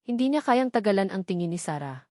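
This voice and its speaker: Female audiobook narrator for a love story; mid-pitched, emotive, scripted delivery, very dry close recording. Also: animated, a little fast, a little mellow, no room sound.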